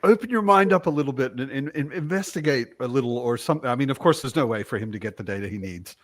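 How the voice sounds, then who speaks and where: A man's voice: mid-range voice, expressive, quick pace, dry recording. Man chatting casually, dry close sound. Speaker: book discussion panelist. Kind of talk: casual chat.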